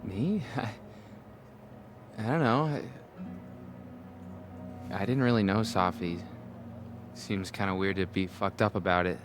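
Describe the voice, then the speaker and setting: Foul-mouthed college kid in a video game, lowish voice, takes his time, frustrated and unsure, music behind. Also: a little slow, long pauses. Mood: self-doubting. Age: young adult. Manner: slangy, casual, swearing. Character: frustrated, self-doubting young male college student, foul-mouthed. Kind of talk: naturalistic video game voice acting.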